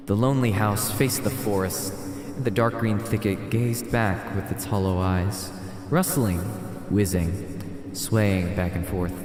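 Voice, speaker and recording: Animated man streaming in heavy reverb. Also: fairly low pitch. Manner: rambling, talks to himself while tinkering. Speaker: streamer reading a visual novel aloud. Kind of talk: casual livestream chat mixed with dramatic story reading. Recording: heavy added reverb and echo, music.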